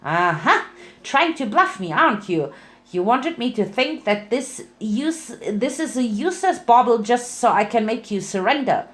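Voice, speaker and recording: A woman voicing an excitable, scatterbrained female cartoon pony who blusters and fumbles for words. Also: a little high, normal speed. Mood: defiant.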